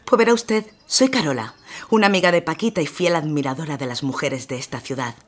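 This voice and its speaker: An actress as an earnest lady in a radio drama. Her voice is notably low for a woman, and she speaks with formal, polite courtesy. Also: animated, brisk. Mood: polite, insistent. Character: polite, earnest lady, admirer of working women. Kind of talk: audio drama acting.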